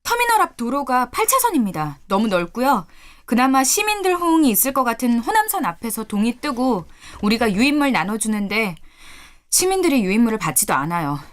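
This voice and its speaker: A woman's voice: fairly high pitch, big pitch swings, brisk. A woman voicing a chatty, earnest young university activist who speaks up to a senior. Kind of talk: audio drama acting.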